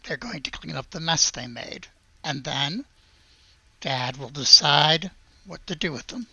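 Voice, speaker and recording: Tabletop game role: a man as an earnest winemaker, slow and hesitant, gravelly edge, muffled dry recording. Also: on the high side, lively intonation, pushed and bright, heavily acted. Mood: matter-of-fact. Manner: hesitant, halting speech. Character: earnest vineyard man, pleasant, halting, deadpan.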